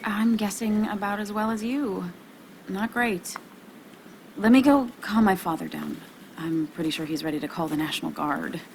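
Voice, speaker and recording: A woman's voice: mid-range voice, moderate pace, darkish tone, slight background noise, natural voice. A woman playing a brave, stubborn adult daughter in a video game drama, firm and a little weary, speaking informally.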